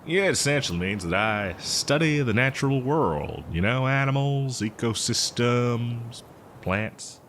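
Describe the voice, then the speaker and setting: A man's voice: mid-range voice, expressive, fairly bright tone, a little hiss or hum. A man playing an eccentric, cheerful botanist who survived from before the apocalypse, rambling hesitantly in a folksy roleplay monologue. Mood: musing, explanatory. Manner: hesitant, rambling, folksy, with chuckles and drawn-out words. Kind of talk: roleplay audio drama monologue addressed to listener.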